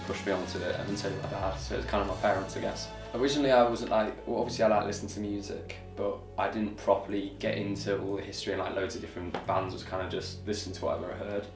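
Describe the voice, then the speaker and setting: A man's voice: low voice, gravelly. A nineteen-year-old man, talking casually and trailing off, saying "like" often. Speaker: band's drummer. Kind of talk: documentary interview. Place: band practice room. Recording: echoey room, far from mic, music behind.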